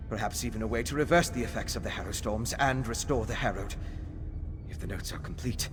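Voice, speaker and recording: A man's voice: on the low side, a little fast, lightly acted. Man playing an earnest scholarly mage over music. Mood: thoughtful. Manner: absorbed, thinking aloud, urgent explanations. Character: earnest scholarly young male mage investigating dark rituals. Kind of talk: video game voice acting. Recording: game music behind the voice.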